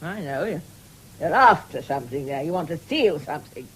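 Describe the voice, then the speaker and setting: An actress playing a querulous, suspicious and frightened old invalid mistress in a radio drama, with an imperious, fretful manner. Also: female voice, low voice, expressive, moderate pace, fairly bright tone, a little hiss or hum, poor audio. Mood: suspicious, scolding. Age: older. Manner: imperious, fretful, muttering and exclaiming. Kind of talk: 1970s radio drama acting.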